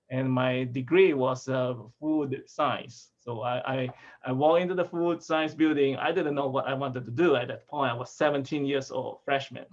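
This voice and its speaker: A man from Malaysia, a food sensory scientist, giving a relaxed talk over a video call with restarts and chuckles. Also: mid-range voice. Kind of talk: casual video-call presentation. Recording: dull lo-fi video call audio.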